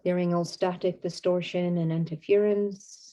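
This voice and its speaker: A woman leads a guided meditation in a soft-edged, mellow voice that sits a little low. Her intonation stays very even and flat. She is soothing and unhurried, leaving long pauses between short phrases. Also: rough recording.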